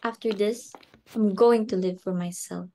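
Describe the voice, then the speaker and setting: A woman's voice: medium pitch, fairly even intonation, unhurried, dark tone, a little hiss or hum, close to an everyday voice. A woman playing a flustered, snobbish society hostess and mother in an amateur dramatic reading, exclamatory and imperious. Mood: bitter, indignant.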